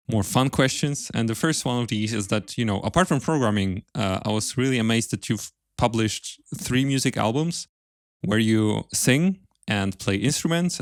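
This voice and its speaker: Male podcast interviewer, slightly deep, courteous and informal, recorded very dry without room sound. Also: no room sound. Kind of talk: casual interview questions.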